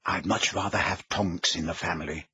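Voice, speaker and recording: A man's voice: low-pitched, steady tone, normal speed, pushed and bright, slightly rough, no room sound, voice pushed down, exaggerated voice. A man voicing a sly, outspoken teenage girl with a cutting, mocking manner in an audiobook story. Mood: scornful.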